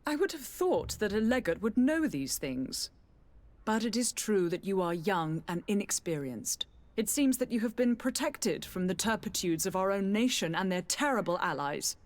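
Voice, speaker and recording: A woman's voice: medium pitch, very animated, moderate pace, dry recording, lightly acted. A woman playing a haughty, guarded noble lady in a period fantasy video game, formal and easily indignant. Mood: bitter, guarded.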